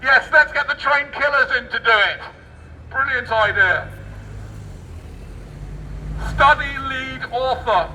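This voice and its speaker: A man's voice: very high voice, bright, forceful tone. A man reading the news aloud as satirical street oratory, with theatrical, sarcastic mock enthusiasm. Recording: distant outdoor mic, faint street noise.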